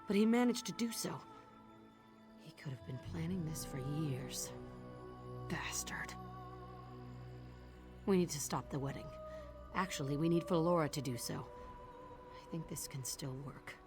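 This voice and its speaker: A woman's voice: sits low, a little slow, long pauses. A woman playing a shrewd, determined thief leading her crew in a video game. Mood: bitter, frustrated. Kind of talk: video game character acting. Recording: music behind the voice.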